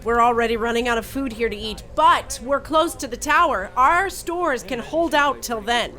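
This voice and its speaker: Woman acting as a haughty young captain, high bright voice, huffy and prim. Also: animated, normal speed. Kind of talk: audio drama acting.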